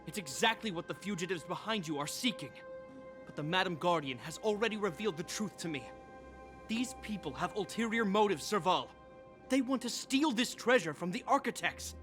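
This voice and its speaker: A man's voice: high voice, animated, brisk, fairly bright tone. A man playing a dutiful young guard captain in a video game, speaking in a formal, commanding, grave way. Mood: reproachful. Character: stern, dutiful young male guard captain, wounded but unyielding. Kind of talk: dramatic video game voice acting. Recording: game music under the voice.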